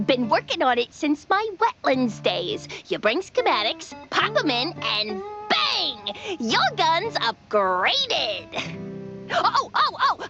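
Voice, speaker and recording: A woman voicing a cheeky young gunsmith inventor in a cartoon noir game, excitable and teasing. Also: sits high, heavily acted. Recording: slightly muffled game audio, faint music.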